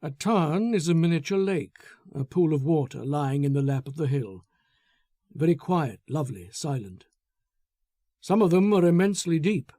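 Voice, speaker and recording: A man's voice: a little high, animated, moderate pace, mellow, no echo, studio quality. A man voicing a brooding, resentful man in an audiobook, terse and restrained, hiding menace behind courtesy. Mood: quiet, controlled menace. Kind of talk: audiobook character acting.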